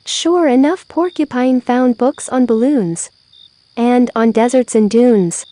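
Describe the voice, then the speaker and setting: Higher-voiced woman reading a storybook, softly toned. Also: slow pace, no room sound. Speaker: children's story reader. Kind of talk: scripted storybook narration read aloud.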